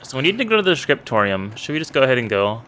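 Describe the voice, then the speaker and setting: A man's voice: mid-range voice, very animated, fast, fairly bright tone. A man casually commenting while he plays a narrative game, curious and thinking aloud. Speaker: let's play narrator. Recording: clear background noise.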